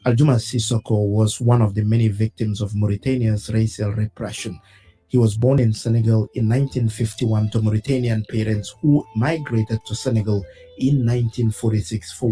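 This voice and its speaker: A man presenting a weekly news program, reading his script in a measured, solemn way. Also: lowish voice, mellow, low-quality recording. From West Africa.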